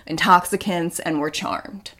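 A woman's voice: fairly low pitch, fairly even intonation, unhurried, sharp tone. A young woman co-hosting a podcast in casual, wry, filler-heavy chat. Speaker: podcast co-host.

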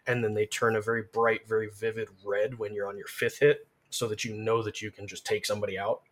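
Guy streamer as podcast guest, lowish voice, casual gaming chat, pushes his tips hard. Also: flat delivery, a little fast, fairly bright tone. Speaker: game streamer. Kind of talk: casual gaming talk, explaining tactics.

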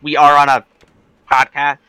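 Bright-voiced young man, blunt and laid-back. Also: on the high side, monotone, normal speed, clean tone, dry recording, poor audio. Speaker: podcast co-host. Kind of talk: casual chat.

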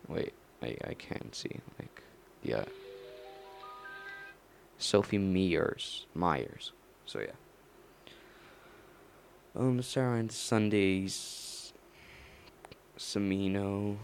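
A young man rambling on his own late at night, mumbling slowly and halting often with fillers. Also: sits low, vocal fry, slight background noise.

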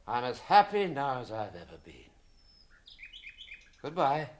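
An actor playing an elderly, whimsical and fussy hobbit with a British accent in a radio drama. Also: male voice, mid-range voice, sing-song, measured pace. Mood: cheerful, flustered. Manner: chatty, whimsical, old-fashioned exclamations.

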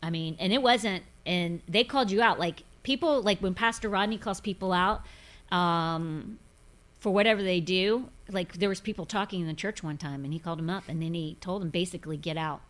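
A woman's voice: on the low side, fairly quick, a bit bright. A woman in her fifties, a pastor hosting a casual faith podcast, talking in a forthright, emphatic, preachy way. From grew up in Pentecostal churches. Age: 50s.

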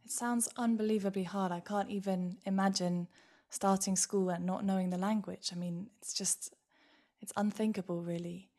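A woman hosting an interview, asking questions in a soft, encouraging way. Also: mid-range voice, fairly even intonation, normal speed. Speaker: podcast host. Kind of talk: interview conversation.

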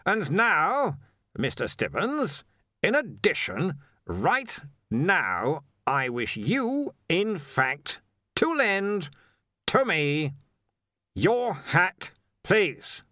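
A man voicing a pompous, blustering old wizard who heads a university, with a booming, imperious manner, in a storybook reading. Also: big pitch swings, fairly quick, bright, forceful tone, no echo, clean studio sound, exaggerated voice. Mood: strained politeness.

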